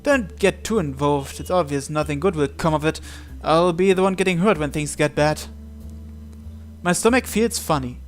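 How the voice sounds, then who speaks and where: Male game host, fairly high voice, dramatic read-aloud of visual novel text with casual asides, music underneath. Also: moderate pace. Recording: music behind the voice.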